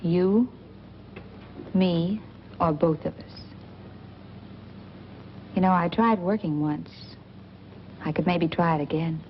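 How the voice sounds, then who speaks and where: A woman's voice: fairly low pitch, slow pace, pauses often. Wisecracking flirty 40s noir woman, small town and restless, muffled film sound w/ some noise. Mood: flirtatious, probing. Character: worldly, teasing small-town woman itching to leave. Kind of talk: 1940s film noir acting.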